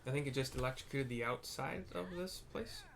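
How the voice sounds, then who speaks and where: A man's voice: mid-range voice, a little fast, vocal fry, fairly bright tone, a little hiss or hum. Laid-back man giving casual gameplay commentary. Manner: laid-back, descriptive, informal. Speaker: gamer narrating a playthrough. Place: indoors at a computer.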